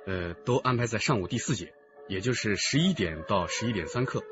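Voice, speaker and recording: A man's voice: lowish voice, animated, brisk, lo-fi sound, lightly acted. An actor playing an affable, talkative male primary school principal in a radio drama. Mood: explanatory. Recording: band-limited radio drama with music behind.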